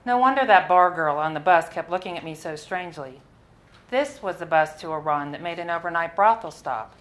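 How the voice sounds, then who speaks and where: Low-pitched woman reading a story aloud. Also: a bit bright. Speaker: writer reading own story. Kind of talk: expressive literary reading of a first-person narrative. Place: live reading at a literary launch event.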